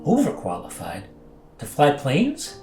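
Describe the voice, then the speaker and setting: A man playing an earnest, easily rattled pilot in an audio drama, halting and indignant in his delivery. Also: mid-range voice, huge pitch swings, dark tone, echoey room, soft background music. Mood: puzzled. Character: defensive, flustered, earnest male pilot. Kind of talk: naturalistic audio drama dialogue.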